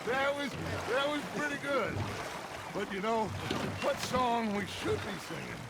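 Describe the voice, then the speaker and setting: An actor playing an older male outlaw gang leader in a video game, self-assured and theatrical, pausing for effect, with water noise behind him. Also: very high voice, expressive, gravelly, heavily acted. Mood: amused.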